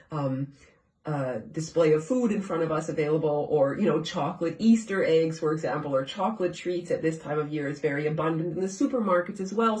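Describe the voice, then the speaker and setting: A congested, stuffed-up woman hosts a casual live stream from her home kitchen, chatting with viewers and firing questions at them. Her voice sits at a middling pitch and comes across from some distance. The room around her rings with a noticeable echo. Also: a little mellow. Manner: conversational, keeps asking viewers questions. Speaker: host of a live nutrition show. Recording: echoey room, far from the mic.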